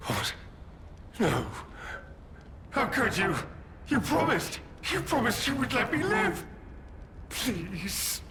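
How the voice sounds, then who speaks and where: A pleading video game schemer, high voice, wildly swinging pitch, halting and strained, cowardly, noisy background. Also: male voice, sing-song, sharp tone, full character voice. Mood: panicked begging. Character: desperate, cowardly schemer begging for a cure. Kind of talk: video game character acting.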